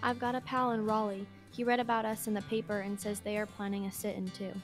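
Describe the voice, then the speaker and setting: A woman's voice: medium pitch, little pitch movement, fast, faint music behind, close to an everyday voice. A woman acting a courteous, principled male student protester in an amateur radio drama.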